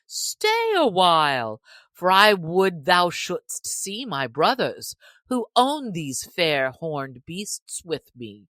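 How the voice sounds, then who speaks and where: Woman voicing a merry, teasing young male outlaw in a storybook reading; low and unhurried. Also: wildly swooping pitch, a bit bright, no echo, full character voice. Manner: cheerful, teasing, old-fashioned speech. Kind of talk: audiobook character reading.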